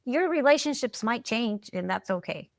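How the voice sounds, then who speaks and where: A woman's voice: fairly high pitch, animated, dry recording, studio quality. A middle-aged woman chatting casually as a podcast guest, restarting phrases often and checking in with little tags as she reflects. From Peru, moved there at ten. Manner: halting, repeats words, often checks in with "right?" and "you know". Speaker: podcast guest, alcohol-free coach. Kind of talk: casual, reflective interview talk.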